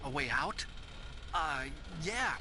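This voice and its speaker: An actor playing a nerdy, earnest young tech ally in a video game drama, speaking with open feeling and quiet bravery. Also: male voice, fairly high pitch, big pitch swings, takes it slowly, background noise. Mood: relieved, anxious. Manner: earnest, emotional delivery. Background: game music.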